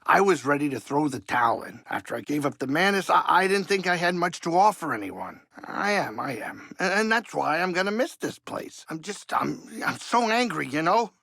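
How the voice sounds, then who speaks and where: A creaky, high-voiced gruff alien pilot. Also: male voice, lively intonation, normal speed, hardly any pauses, bright, forceful tone, dry recording, full character voice. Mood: downcast, regretful. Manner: wisecracking, folksy, old-time wise-guy slang. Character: gruff, excitable, soft-hearted older alien pilot turned cantina cook. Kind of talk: video game character dialogue.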